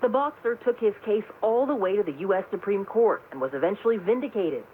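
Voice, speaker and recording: A female radio correspondent delivers a scripted report. Her voice comes through a narrow, tinny band, with clear noise around it. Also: mid-range voice, animated, brisk.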